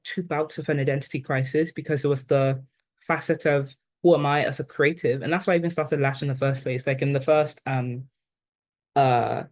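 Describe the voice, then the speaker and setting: A woman in her twenties from Ghana, with an accent that blends Ghanaian and British, reflecting thoughtfully as an interview guest and often pausing on "like" and "um". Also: very deep voice, darkish tone. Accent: blend of Ghanaian and British, now neutralized. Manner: thoughtful, with many "like" and "um" fillers.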